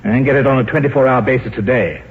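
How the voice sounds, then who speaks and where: An actor in a 1950s radio drama playing a brusque, sarcastic senior boss, pompous and commanding, with a husky voice. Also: male voice, mid-range voice, fast talker. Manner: pompous, scornful, barking orders. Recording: tinny, band-limited 1950s radio recording.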